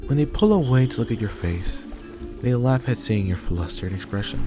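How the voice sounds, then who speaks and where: A man's voice: medium pitch, expressive, moderate pace. A man reading scripted narration for an ASMR roleplay story. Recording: clear music behind the voice.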